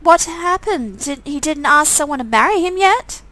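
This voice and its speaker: A woman's voice: high-pitched, expressive, moderate pace, bright, forceful tone, dry recording. A woman voicing a worried, affectionate mother in a visual novel game, speaking in a fretful, hesitant way. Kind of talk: acted visual novel dialogue.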